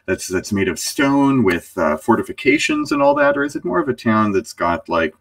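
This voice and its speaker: A man's voice: medium pitch, huge pitch swings, normal speed, vocal fry, no room sound, distant mic. A man playing a tabletop game, asking curious questions with restarts. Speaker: player. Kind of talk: casual tabletop play.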